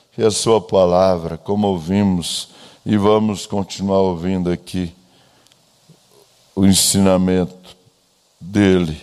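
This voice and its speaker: A man with a Brazilian accent preaching as a pastor, solemn and deliberate, with emphatic phrasing. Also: sits low, a little mellow. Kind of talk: church sermon with a read-out letter. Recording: long echoing hall reverb.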